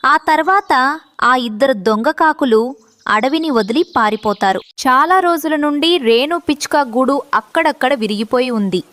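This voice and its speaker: A woman narrator with a high-pitched voice tells a scripted children's story. The sound is dry and close, with no room echo. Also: lively intonation, measured pace, a bit bright.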